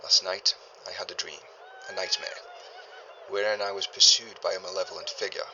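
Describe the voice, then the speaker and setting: An actor playing a learned 1930s archaeologist, a man recording a formal, deliberate journal in grave and uneasy tones for an audio drama. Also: sits low, wildly swooping pitch, bright, forceful tone, muffled recording, a little hiss or hum, full character voice. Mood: haunted, pensive. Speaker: expedition leader keeping a recorded log. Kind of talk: scripted audio drama monologue, spoken journal.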